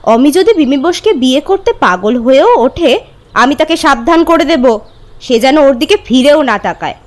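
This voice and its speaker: An actress playing an outspoken young sister in a literary audio drama, with a pert, emphatic, challenging manner. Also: female voice, high voice, animated, fairly quick. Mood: indignant.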